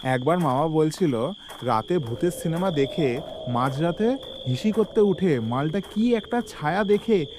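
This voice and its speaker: A man's voice: a little high, animated, a little slow, noisy background. An actor playing a jovial, teasing young man with a colloquial, gossipy manner in a comic audio drama. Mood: amused, mocking.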